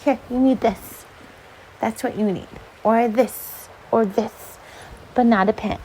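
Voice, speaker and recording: A woman reading aloud as a volunteer storyteller, with an expressive, dramatic delivery. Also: fairly high pitch, slow pace, slight background noise, poor audio. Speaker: volunteer children's book reader.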